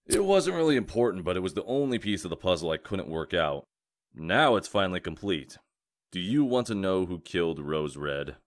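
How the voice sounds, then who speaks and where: Guy doing a gruff cop detective for a comic dub, real low, dry n wry, kinda theatrical. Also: lively intonation, normal speed, no room sound. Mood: low-key, wry. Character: gruff male wolf detective cop, dry, theatrical about revealing culprits.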